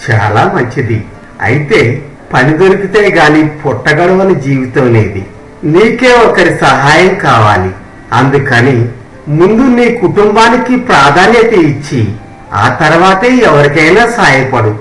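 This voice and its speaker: A man playing a kindly village temple priest in a moral story, giving measured, fatherly, preachy advice. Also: a little high, sing-song, fairly bright tone. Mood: gentle advising. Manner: measured, preachy, addresses listener as son. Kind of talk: scripted moral story dialogue. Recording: roomy echo on the voice.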